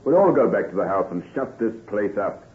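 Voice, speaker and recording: Sensible protective old-radio gentleman, kinda low voice, brisk, tinny narrow sound. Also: big pitch swings. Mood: brisk, reassuring. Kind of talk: old-time radio drama acting. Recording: tinny, band-limited vintage radio recording.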